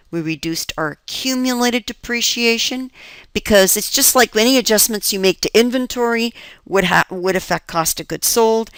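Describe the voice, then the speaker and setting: Woman, lowish voice, accounting instructor, explanatory and emphatic, rhetorical check-in questions, close dry recording. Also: a bit bright, dry recording. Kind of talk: instructional lecture, walking through worked examples.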